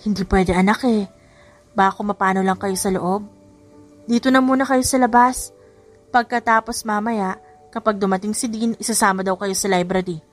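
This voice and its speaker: A woman voicing a caring, protective mother who is gently firm, in an audiobook story. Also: mid-range voice, fairly even intonation, normal speed, long pauses, faint music behind, close to an everyday voice.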